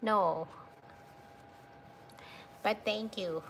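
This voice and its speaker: Filipino lady in her 30s, slow creaky voice, phone live stream, faint hum behind. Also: mid-range voice. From Philippines. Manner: slow, soft-spoken, thanks viewers often. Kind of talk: casual live-stream chat with viewers. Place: dim room at night, indoors. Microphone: phone held close.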